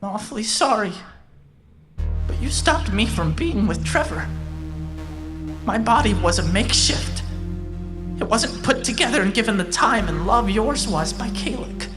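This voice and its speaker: An earnest, apologetic woman played by an actress in a cyberpunk audio drama. Her mid-pitched voice moves expressively and stays resolute. Music plays clearly behind her. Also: animated, unhurried, long pauses, close to an everyday voice. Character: apologetic, gentle-worded woman, resolute about dying.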